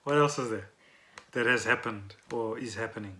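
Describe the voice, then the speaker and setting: A woman's voice: a bass voice, normal speed, fairly bright tone, slight background noise. A woman chatting casually as a podcast co-host at home. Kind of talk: casual chat. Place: at home, indoors.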